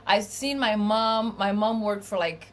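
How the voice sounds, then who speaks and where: A middle-aged woman from Brazil with a Brazilian Portuguese accent, speaking English as a second language, chatting relaxed as a musician guest in an interview, with frequent restarts. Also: medium pitch, measured pace. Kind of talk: casual interview chat. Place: home studio room.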